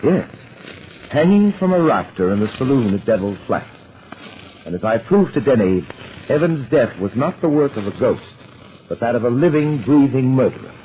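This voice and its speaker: An actor playing a cool, commanding gentleman adventurer in an old-time radio drama, brisk and polite. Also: mid-range voice, very animated, close to an everyday voice. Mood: composed, dry. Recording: noisy, phone-like old radio recording.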